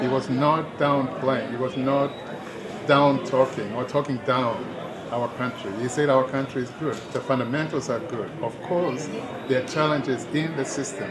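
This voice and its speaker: Kenyan guy giving his take at a town hall, earnest, lots of restarts, loud crowd all around. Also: mid-range voice. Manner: earnest, persuasive, halting restarts. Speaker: town hall attendee interviewed. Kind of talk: street interview, political commentary.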